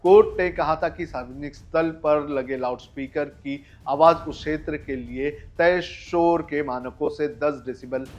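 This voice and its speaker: A man's voice: fairly high pitch, unhurried, hardly any pauses, darkish tone, muffled recording. A man presents the news in a scripted narration style over a music bed. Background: background music.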